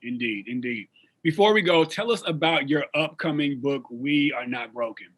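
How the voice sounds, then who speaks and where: A man's voice: a little high, normal speed, fairly bright tone, low-quality recording. A man hosting a talk show interview in a conversational style.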